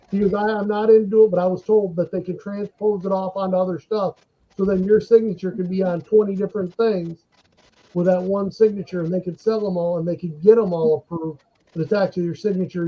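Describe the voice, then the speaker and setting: A man telling animated anecdotes in a casual podcast chat. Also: high-pitched, low-quality recording. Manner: animated anecdotes, laughs while telling stories.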